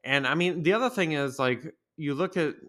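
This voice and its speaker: A man's voice: fairly high pitch, animated, normal speed, fairly bright tone. Stuffy-voiced man hosting a podcast. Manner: rambling, crude, jokey. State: stuffy with a cold. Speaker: podcast host. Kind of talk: casual solo podcast monologue.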